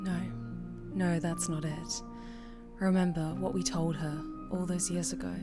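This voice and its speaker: A woman's voice: on the low side, little pitch movement, measured pace. A woman streamer giving casual, blunt, exclamatory live reactions to a game. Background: game music.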